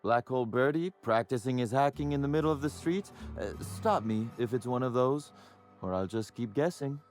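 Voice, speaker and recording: An actor playing a glib, self-assured suspect in a video game, speaking in a wry, sardonic, conversational way. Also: male voice, mid-range voice, animated, moderate pace, a little mellow, faint music behind, natural voice.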